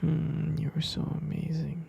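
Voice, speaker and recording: A man playing a tender, devoted husband in an intimate ASMR roleplay, speaking slowly and hesitantly in a soft, breathy, creaky whisper. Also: fairly high pitch, monotone, a little hiss or hum. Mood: adoring, tender. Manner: slow, soft, hesitant, doting murmur. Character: tender, devoted husband comforting his pregnant partner.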